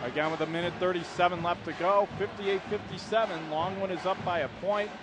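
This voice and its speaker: A man's voice: high-pitched, steady tone, a little fast, music in the background. A man doing live radio play-by-play of a basketball game as the announcer, describing the action in short clipped phrases. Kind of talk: live radio sports commentary. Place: indoor basketball arena. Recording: noisy live gym, bouncing ball behind. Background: loud game ambience, basketball bounces.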